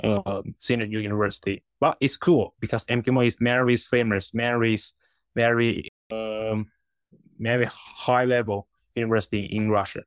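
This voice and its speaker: A man's voice: fairly low pitch, fairly even intonation, dry recording. Hesitant young man from China. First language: Chinese. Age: 20s. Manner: halting, searching for words, frequent restarts. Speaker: guest. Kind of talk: interview answers.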